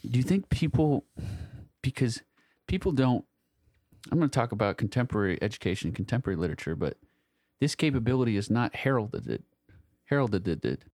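A man's voice: mid-range voice, very animated, a little fast, darkish tone, dry recording, studio quality. A man co-hosting a casual literary discussion, searching for words, repeating phrases and swearing freely. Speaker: podcast co-host.

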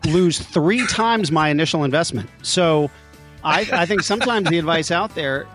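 A man's voice: on the high side, lively intonation, moderate pace, fairly bright tone, soft background music. A man co-hosting a radio show in a casual, conversational interview style. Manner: joking, sarcastic. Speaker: podcast and radio co-host.

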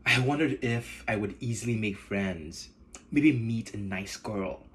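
A man from Nigeria with a Nigerian accent, hosting a podcast in measured, earnest scripted narration. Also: on the low side, fairly bright tone, slight background noise. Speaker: podcast host.

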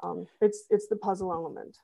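A woman's voice: medium pitch, steady tone, fairly quick, vocal fry, dark tone. A woman guest on a podcast explaining how she runs her site, rambling with fillers. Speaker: podcast guest, web designer. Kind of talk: casual interview.

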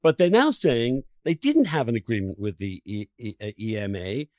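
A man's voice: medium pitch, animated, moderate pace, darkish tone, dry recording, studio quality. A man hosting an interview in an impassioned conversational style, stressing his points emphatically with repeated words and piled-up phrases. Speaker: podcast host interviewing a guest.

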